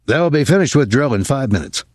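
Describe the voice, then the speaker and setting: A man voicing a nervous, apologetic older military academy colonel in an audiobook, speaking formally and haltingly with some embarrassment. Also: mid-range voice, expressive, a little mellow, no echo, studio quality, voice pushed up, close to an everyday voice. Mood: uneasy, grave.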